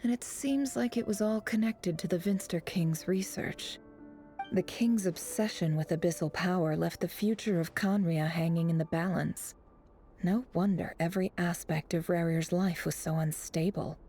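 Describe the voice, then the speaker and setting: A woman playing a composed, shrewd mind reader in a video game, musing in a measured, self-assured way. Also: lowish voice, mellow, close to an everyday voice. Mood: pensive, analytical. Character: composed, shrewd, analytical woman reading others' minds. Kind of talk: video game character monologue.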